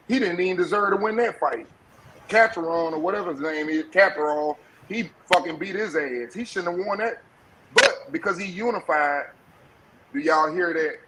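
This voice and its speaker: A man arguing boxing as a guest on a podcast, loud by his own account, combative and profane. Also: on the high side, a little hiss or hum, low-quality recording. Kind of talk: heated sports debate.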